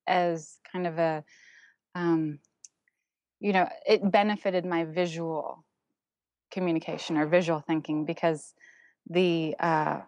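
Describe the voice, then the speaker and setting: Woman artist guest, German first language, lower voice, reflective and halting, dry close recording. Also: darkish tone, no room sound. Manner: thoughtful, many ums and restarts. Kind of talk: casual interview, recounting her path.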